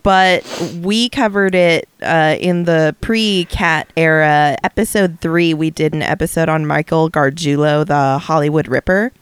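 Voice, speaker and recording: A woman's voice: low-pitched, a little slow, few pauses, clear, resonant tone. A woman co-hosting a casual podcast, chatting with many ums and restarts. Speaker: podcast co-host.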